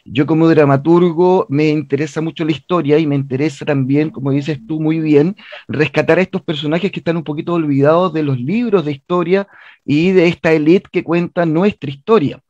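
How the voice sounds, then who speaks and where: A Chilean man with a somewhat high voice explains his theatre work as an interview guest. He is earnest and narrative, repeating phrases to stress them. The sound is very dry and slightly dull, with a lo-fi edge. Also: steady tone, fairly quick, a little mellow, dry recording.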